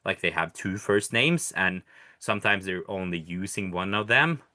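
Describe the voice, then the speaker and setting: Low, bright-voiced Norwegian man explaining. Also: expressive, normal speed, no room sound, clean studio sound. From Norway. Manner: hesitant, many fillers and restarts. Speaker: healthcare educator. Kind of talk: casual explanatory lesson.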